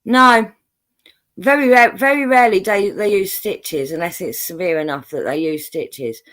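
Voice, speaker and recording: A woman's voice: medium pitch, moderate pace, fairly bright tone. An English woman hosting a live stream, reading out viewer messages in a rambling, chatty way with lots of fillers and frequent laughs. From England, born in London, raised in Buckinghamshire.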